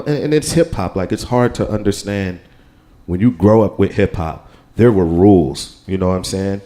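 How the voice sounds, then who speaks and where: A man's voice: low-pitched, dark tone. An American man with a casual, rambling manner, talking on a live panel and often checking in with the listener. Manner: rambling, keeps saying "you know what I'm saying". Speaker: panel guest, percussionist. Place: live radio studio with audience.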